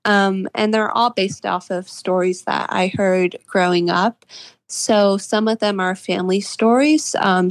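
A woman in her twenties with an American accent speaks with noticeable vocal fry in a dry, close recording. She answers as an interview guest, earnest and agreeable, in a conversational style. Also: medium pitch, no room sound. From Charleston, West Virginia, United States. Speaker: guest author.

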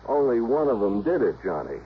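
A man playing an earnest informant who lays out a case deliberately, in a 1960s radio drama. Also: medium pitch, big pitch swings, lightly acted. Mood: grave. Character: earnest, low-voiced male informant laying out a case. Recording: dull old radio recording, faint noise.

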